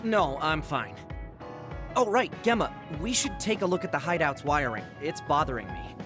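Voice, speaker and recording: A man's voice: a little high, very animated, moderate pace. A man playing an earnest, slightly timid, analytical young teammate in an anime game dub. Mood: sheepish. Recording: music behind the voice.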